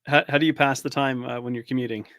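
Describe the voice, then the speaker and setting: A man's voice: mid-range voice, steady tone, fast talker, fairly bright tone, no room sound, studio quality. A man hosting a casual interview, asking curious, tentative questions and acknowledging the answers often. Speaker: podcast host.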